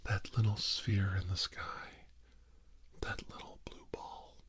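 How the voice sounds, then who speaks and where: A man giving a guided ASMR healing session in a breathy, mostly unvoiced whisper, soothing and hushed, in short phrases with long pauses. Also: deep voice, flat delivery. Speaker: ASMR reiki healer.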